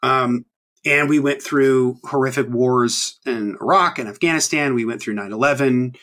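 A man's voice: mid-range voice, normal speed, a bit bright. A man in his thirties hosting a podcast, talking casually and rambling with many ums and restarts. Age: 30s. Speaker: podcast host. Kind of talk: casual chat.

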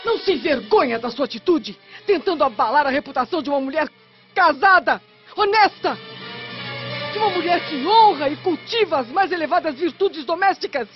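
High-voiced, dignified Roman noblewoman. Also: animated, a little fast. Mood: indignant, reproachful. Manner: formal, eloquent, declamatory. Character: indignant, dignified married Roman noblewoman defending her honour. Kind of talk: radio drama acting. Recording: muffled radio drama with faint music.

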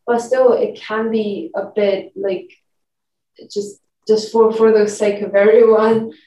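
A woman's voice: mid-range voice, level tone, slow pace, mellow. A young woman from Shanghai, a native Mandarin speaker speaking English as a second language, talking in a thoughtful, hesitant way with frequent restarts in a casual group discussion. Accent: Shanghainese-accented Mandarin speaker; English with mixed US and British sounds. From Shanghai, China. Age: young adult. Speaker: discussion participant. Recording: echoey room, distant mic, faint noise.

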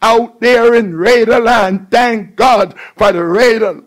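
A man's voice: extremely high pitch, slow. A man preaching a fervent call-and-response sermon, exhorting listeners and repeating phrases with emphasis.

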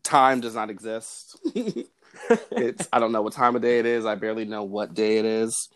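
A man hosting a podcast, explaining ideas in a measured way and chatting with his guest. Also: on the low side. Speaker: podcast host. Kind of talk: podcast conversation.

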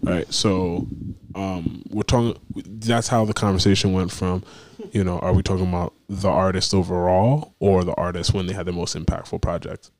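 A man's voice: deep voice, fairly even intonation, normal speed, darkish tone, a little hiss or hum. A man co-hosting a podcast in casual chat, laid-back and rambling, getting sidetracked. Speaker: podcast co-host.

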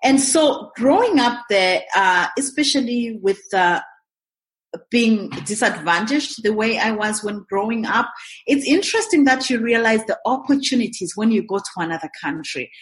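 A woman from Zimbabwe, a guest on an interview podcast, telling her story in a lively way and acting out her own past thoughts. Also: fairly high pitch, moderate pace. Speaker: guest, real estate investor. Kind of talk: interview answers.

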